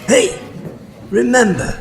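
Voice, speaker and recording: A man's voice: very high voice, very animated, slow pace, creaky, a faint crowd, exaggerated voice. A man playing a brusque, commanding police sergeant in a cop-show parody audio drama. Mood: brusque, exasperated. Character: brusque police sergeant barking at roll call. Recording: echoey hall, noisy.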